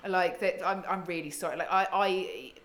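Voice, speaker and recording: This is a British woman chatting on a podcast with a frank, sweary edge. Her voice sits a little low for a woman. She tells her stories animatedly, acting out what other people said. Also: normal speed. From Britain. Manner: blunt, sweary, animated storytelling. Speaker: podcast co-host. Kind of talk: casual podcast chat.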